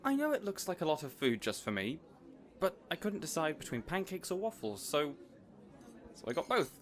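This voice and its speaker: A man playing a boyish, caring supervillain boss in an audio roleplay, earnest and rambling, hesitant yet excitable. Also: on the high side, normal speed. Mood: excited, sheepish.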